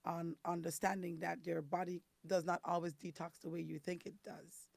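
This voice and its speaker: A woman from the Caribbean with a raspy, hoarse voice, talking as a guest and explaining things step by step with frequent ums. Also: fairly low pitch, flat delivery, normal speed, dry recording. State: hoarse from detox. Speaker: guest, detox specialist. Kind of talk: casual interview talk.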